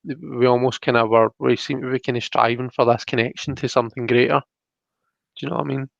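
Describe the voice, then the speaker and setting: A man's voice: mid-range voice, fairly even intonation, fast, creaky, a bit bright, no room sound, dull audio. A Scottish man giving a casual tarot reading, thinking aloud and often checking that the listener follows. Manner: thoughtful, often checks with "you know" and "do you know what I mean". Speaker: host and tarot card reader.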